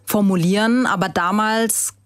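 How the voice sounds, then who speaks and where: A young woman talks about her past in a reflective interview, often pausing with small fillers as she looks for the right words. Her voice sits at a middling pitch and is recorded close and dry in a studio. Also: fairly even intonation, smooth, dry recording. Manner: thoughtful, searching for words with fillers. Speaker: interview guest, author.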